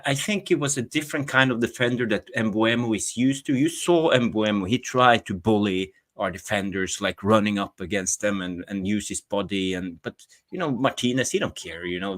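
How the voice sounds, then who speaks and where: Male co-host, football fan, low voice, hesitant, repeats words, casual chat. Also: fairly bright tone.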